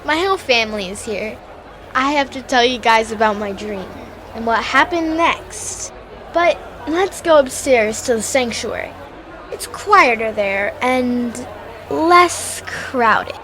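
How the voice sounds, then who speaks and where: A woman's voice: high-pitched, a bit bright, a little hiss or hum, exaggerated voice. A female performer playing an earnest, anxious young girl in an audio drama, with hesitant, wide-eyed delivery.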